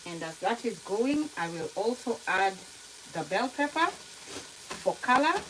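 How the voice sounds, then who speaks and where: A woman with a Kenyan accent giving a casual cooking demonstration to viewers, explaining step by step in a halting, unhurried way. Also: fairly high pitch, expressive. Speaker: home cook hosting a cooking tutorial. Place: kitchen, indoors. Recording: noisy kitchen, sizzling frying sounds. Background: frying sizzle, stirring, clicks.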